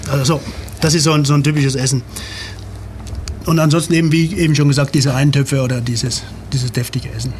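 A man in an interview, explaining in a steady, informative way. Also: mid-range voice, fairly quick, a little hiss or hum. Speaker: club member.

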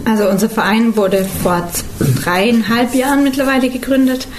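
A woman in her twenties, a studio guest on a radio interview, explaining things calmly. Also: fairly high pitch, normal speed, slight background noise. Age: 20s.

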